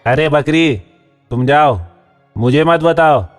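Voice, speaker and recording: A woman voicing a rude, boastful male donkey in a children's cartoon, speaking in a gruff, bossy, threatening way. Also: sits low, slow pace, big-room sound, faint music behind, pitched below the performer's own voice. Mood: rude, dismissive.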